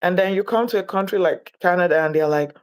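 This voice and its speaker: A woman from Nigeria, speaking as a guest in an interview, reflective and deliberate in her delivery. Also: fairly low pitch, clear, resonant tone. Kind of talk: interview conversation.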